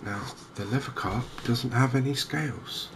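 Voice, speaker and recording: A man giving softly spoken ASMR commentary on a game, hushed, hesitant and unhurried, close to the microphone. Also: mid-range voice. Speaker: gaming video host. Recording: clear background noise.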